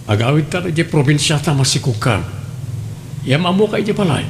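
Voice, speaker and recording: A man's voice: fairly high pitch, very animated, brisk, vocal fry, loud music behind the voice. A man playing an earnest, insistent young suitor and son in an audio drama, pleading with an Ilocano accent. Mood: earnest, coaxing. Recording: faint background noise.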